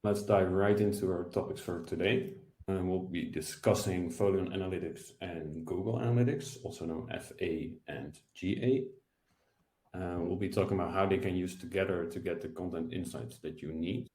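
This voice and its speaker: A man's voice: sits low, fairly even intonation, normal speed, a little mellow, echoey room. A man in his thirties giving an explanatory online presentation as an analyst, recorded over a video call. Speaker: product data analyst, presenter. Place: indoor room, on a video call.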